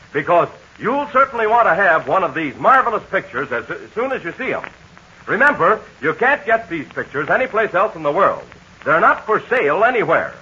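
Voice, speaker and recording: A man announcing in a 1940s radio ad, making a peppy, folksy pitch to children. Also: bright, forceful tone, breathy edge. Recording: muffled 1940s radio transcription.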